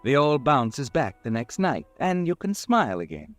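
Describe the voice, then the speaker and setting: A man acts out a mysterious, all-knowing narrator who hovers over the story of an old radio mystery, addressing its doomed hero directly. His voice sits at a middling pitch, sardonic and intimate. Faint music plays behind. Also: sing-song, moderate pace, close to an everyday voice. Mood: knowing, mocking. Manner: sardonic, speaks to the hero in second person. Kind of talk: 1940s radio drama narration.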